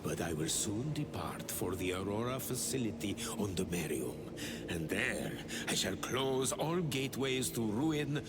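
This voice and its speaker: An actor playing an obsessive male tech-priest scholar, slow and eerily curious, in a game cutscene. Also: lowish voice, very animated, loud music behind the voice. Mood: ominous. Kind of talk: video game cutscene acting.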